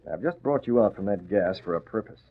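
An actor playing a cool, commanding masked vigilante in a 1940s radio drama, giving clipped, taunting orders. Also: male voice, lowish voice, expressive, fast talker, a little hiss or hum, lightly acted. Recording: muffled tinny vintage radio recording.

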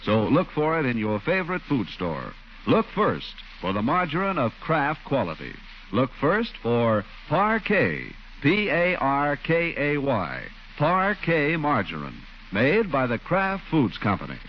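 A dull old radio recording with faint noise carries a male commercial announcer. His medium-pitched delivery is polished and emphatic, with lively rises and falls. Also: unhurried. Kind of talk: 1940s radio ad read.

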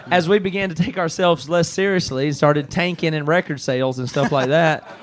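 A man with a somewhat higher voice than most men, co-hosting a live podcast with his bandmates. He teases a friend in an easygoing way and builds his point step by step, one short sentence after another. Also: normal speed. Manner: teasing, lists points in a building sequence. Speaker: band member and podcast co-host. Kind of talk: casual live podcast chat.

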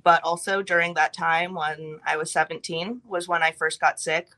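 A young woman from California, a guest on a podcast, recounting her past in a brisk, matter-of-fact manner. Her voice sits a little low yet sounds bright and forceful. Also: steady tone. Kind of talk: telling her life story in an interview.

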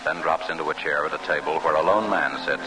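A man's voice: very deep voice, a little fast, noisy background. An American man delivering formal, dramatic scripted narration as a 1940s radio announcer. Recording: old radio recording, music behind.

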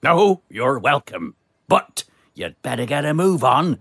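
A man's voice: mid-range voice, sing-song, unhurried, fairly bright tone, breathy edge, no room sound, clean studio sound, pitched below the performer's own voice, exaggerated voice. A man voicing a salty old dockside diesel engine who talks like a seafaring yarn-spinner.

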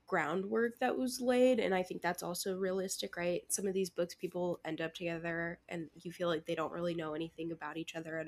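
A woman co-hosting a book club podcast, giving her opinions in a casual, conversational way. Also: lowish voice.

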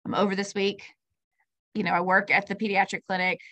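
A woman co-hosting a casual podcast, telling stories in a chatty, relaxed way and swearing casually. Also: mid-range voice, brisk, dry recording. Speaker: podcast co-host. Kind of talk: casual chat.

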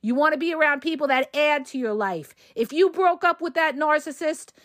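A woman relationship coach, hoarse from a cold, giving direct, emphatic advice in a casual podcast monologue and often checking in with the listener. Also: high voice, moderate pace, fairly bright tone, no echo, clean studio sound.

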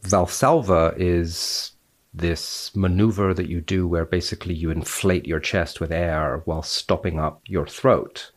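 Male scientist guest, low register, precise and measured when explaining or reading a paper aloud.

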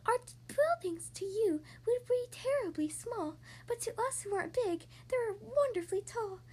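A tiny storybook mayor, played by a woman, piping away very high and faint. The little figure is polite, earnest and full of gratitude, with lively swings in the voice. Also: moderate pace. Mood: proud, friendly. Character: tiny, grateful, friendly mayor of a miniature town.

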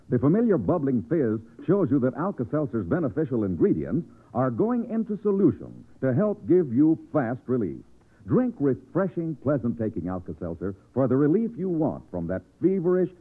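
Very animated American vintage radio pitchman. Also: slightly rough. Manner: emphatic, polished sales pitch. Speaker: commercial announcer. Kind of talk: 1940s radio advertisement read. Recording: old radio broadcast, narrow phone-like sound.

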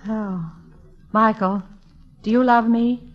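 A woman's voice: fairly high pitch, steady tone, takes it slowly. A woman playing a bold, playful novelist in love, teasing and poised in theatrical 1940s radio comedy style. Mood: pleading. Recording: dull old radio recording.

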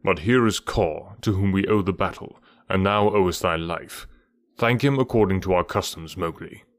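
Storybook panther, proud and battle-weary, grave dry delivery in a fairly low register from a male reader. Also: moderate pace, no echo.

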